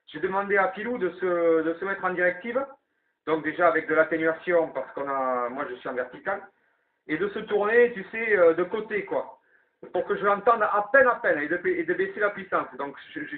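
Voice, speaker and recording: Man, slightly raised pitch, tinny CB transmission, chatty, explanatory, repeats key points. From southwest France. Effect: CB radio transmission. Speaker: amateur CB radio operator running the evening round. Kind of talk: casual CB radio chat. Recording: narrow tinny CB radio signal.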